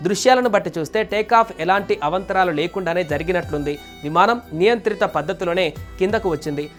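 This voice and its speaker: A male news narrator reads a scripted report in a high voice. His delivery is quick, and light music plays behind him. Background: background music.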